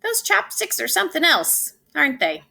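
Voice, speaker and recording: A woman voicing a gentle, reassuring mother spoon in a children's storybook, soothing and agreeable. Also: sits high, huge pitch swings, normal speed, creaky, pitched above the performer's own voice, exaggerated voice. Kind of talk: children's storybook read-aloud.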